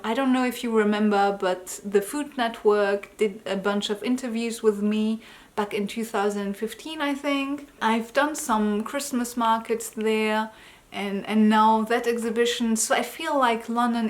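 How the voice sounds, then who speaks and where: A woman's voice: fairly high pitch, a little slow. A woman who grew up in Germany, tired, chatting loosely in a personal vlog update as an artist, often correcting herself and laughing lightly. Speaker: artist vlogger.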